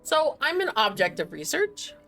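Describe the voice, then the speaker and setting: A woman streaming a game, giving casual live commentary and musing aloud in a halting way. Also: high-pitched, very animated, creaky. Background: faint game music.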